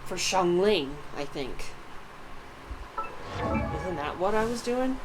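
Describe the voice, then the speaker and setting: A woman's voice: on the low side, animated, slow pace, background noise. A woman livestreaming a video game with casual, profane commentary, often muttering to herself.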